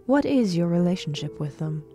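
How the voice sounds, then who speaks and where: A composed broadcast host, played by a woman, speaks in a slightly low voice, formal and careful. Faint music sits behind her polite delivery in this dystopian audio drama. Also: very animated, normal speed, dark tone. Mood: inquiring. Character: composed dutiful daughter hosting a dystopian broadcast.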